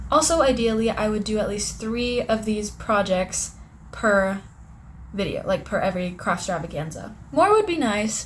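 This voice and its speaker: A young woman with a slightly strained, sore-throat voice chats casually through her plans at home. She rambles with lots of little fillers and keeps an easy, middle-pitched tone. A faint room hum sits behind her. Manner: chatty, rambling with many "like"s. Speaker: craft vlogger. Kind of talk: casual vlog narration.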